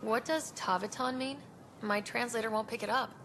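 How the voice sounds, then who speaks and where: An actress playing a confident young team leader in a video game, wry and direct. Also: female voice, fairly high pitch, steady tone, normal speed, a bit bright, slight background noise.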